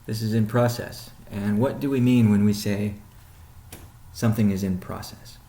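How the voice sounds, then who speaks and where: A man with a fairly low voice that has plenty of gravelly fry speaks in an echoey room. He sounds like an executive running a routine conference call, setting out updates in a measured, explanatory way and often pausing partway through a sentence. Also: fairly even intonation, dark tone. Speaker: company CEO hosting a weekly update call. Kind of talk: informal business conference call briefing. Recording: roomy, echoing sound.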